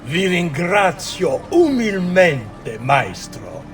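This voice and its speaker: Animated, higher-pitched conspirator, courtly video game nobleman, music behind. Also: slow pace, full character voice. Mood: deferential. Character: scheming Venetian nobleman, urgent, deferential to his master.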